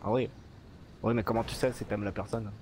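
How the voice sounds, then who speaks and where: A man's voice: lowish voice, fairly even intonation, a little fast, darkish tone, slight background noise, lightly acted. A man voicing a naive, simple-minded villager in an improvised online game roleplay, asking things earnestly.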